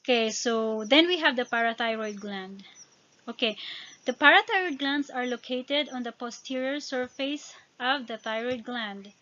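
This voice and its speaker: A woman with a Filipino accent and Tagalog and Visayan as first languages, narrating an informal lesson from notes and halting over technical words. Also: fairly high pitch, bright, forceful tone, dull audio. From Philippines. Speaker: educator.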